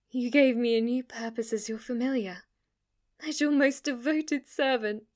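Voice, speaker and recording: A woman as an obsessively devoted demon maid. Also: high voice. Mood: fervent, adoring. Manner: reverent, submissive, breathy dips into whispering. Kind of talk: ASMR roleplay.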